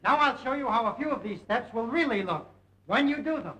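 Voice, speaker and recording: A man's voice: extremely high pitch, animated, a little fast, bright, forceful tone, heavily acted, poor audio. An actor playing a brash young radio showman and dance teacher, talking in breezy salesman patter in an old film. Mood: salesy. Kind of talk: 1930s film acting. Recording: old film soundtrack with music.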